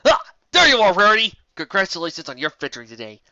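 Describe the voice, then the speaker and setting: A man's voice: sits high, huge pitch swings, fast talker, sharp tone, dry recording, dull audio, pitched above the performer's own voice, heavily acted. Male reader as a storybook griffin fellow, friendly, casual, dry close sound. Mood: cheerful.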